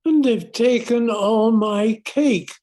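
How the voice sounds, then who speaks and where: An actor playing a gruff, rich old man with a husky voice in a 1940s radio play. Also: medium pitch, slow, a little mellow, lightly acted. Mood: indignant. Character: gruff, rich old male tightwad industrialist.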